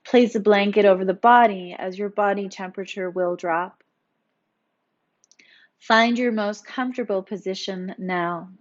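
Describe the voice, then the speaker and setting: Female yoga teacher for guided relaxation: soothing, gently instructive, mid-pitched, on slightly muffled lo-fi audio. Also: fairly even intonation, measured pace. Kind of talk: guided meditation narration.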